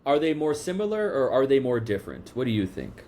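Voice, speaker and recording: A man's voice: medium pitch, very animated, brisk, a little mellow. A man hosting a podcast interview, asking long questions with many restarts and fillers. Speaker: podcast host. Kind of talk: casual interview.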